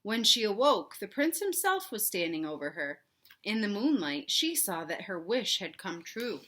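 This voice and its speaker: A woman reading a storybook aloud for children with expressive narration and chatty asides to her young listeners. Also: medium pitch, moderate pace. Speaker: read-aloud host for children.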